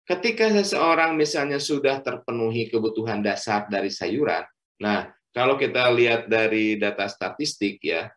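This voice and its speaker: Middle aged doctor guy lecturing, lots of "eh"s and "ya"s, medium voice, calls everyone friends. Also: medium pitch. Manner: speaks in measured phrases with many "eh" fillers and "ya" tags; addresses listeners warmly as friends. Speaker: doctor giving a health talk. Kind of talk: lecture at a religious study session.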